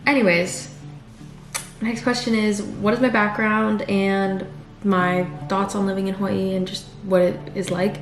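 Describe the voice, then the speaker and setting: A woman's voice: medium pitch, little pitch movement, unhurried. A young woman from California chatting casually and rambling, with many fillers and restarts, while getting ready at home. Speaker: vlogger. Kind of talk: casual chat. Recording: roomy sound with background music.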